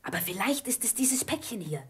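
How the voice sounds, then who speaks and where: A woman playing an eager, excitable young boy adventurer in a German radio play. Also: a little high, a little fast, a little hiss or hum.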